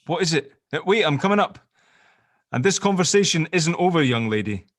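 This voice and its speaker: A man's voice: fairly high pitch, big pitch swings, moderate pace, no room sound. Man acting protective, rambling middle-aged dad, close dry sound. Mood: flustered, worried. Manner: hesitant, chatty, fatherly. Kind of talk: table read of an audio drama script.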